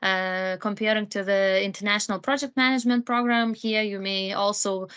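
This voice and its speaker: A woman presenting a master's program, speaking haltingly with many fillers. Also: mid-range voice, fairly even intonation, a bit bright, smooth, dull audio. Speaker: program coordinator. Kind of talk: informational presentation.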